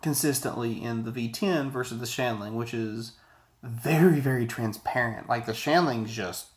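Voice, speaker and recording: A man's voice: medium pitch, normal speed. A man in his thirties giving a casual, rambling, conversational product review to camera, often digressing. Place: small indoor office, sitting at a desk.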